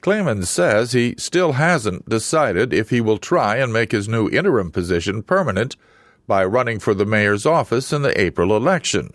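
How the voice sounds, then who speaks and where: A man reading a scripted radio news report in a measured, even newsreader delivery. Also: lowish voice, dry recording, clean studio sound.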